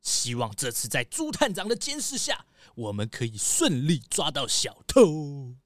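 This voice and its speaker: A man's voice: fairly high pitch, huge pitch swings, measured pace, no room sound. A man playing an earnest, eager master locksmith in a children's audio drama.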